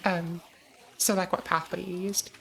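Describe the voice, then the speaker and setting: An American woman chatting casually on a gaming livestream, answering chat with frequent pauses and ums. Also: lowish voice, flat delivery, vocal fry, a little hiss or hum, low-quality recording. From United States. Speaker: streamer.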